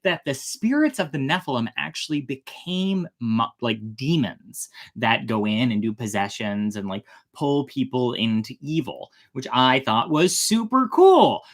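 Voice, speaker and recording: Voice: medium pitch, fairly bright tone. A storytime host chatting casually about a story, with playful, irreverent and flippant asides.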